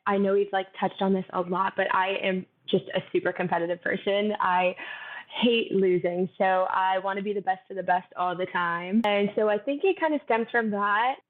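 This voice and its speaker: A young woman, a fitness coach, talking softly and thoughtfully in a casual interview, laughing easily. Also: medium pitch, normal speed. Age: young adult. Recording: tinny phone-like line.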